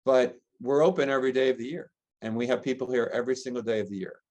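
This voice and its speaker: An American man, a middle-aged priest, giving earnest, gentle interview answers and listing ideas in long chains. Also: medium pitch, steady tone, fast. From United States. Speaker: priest and rector, interview guest.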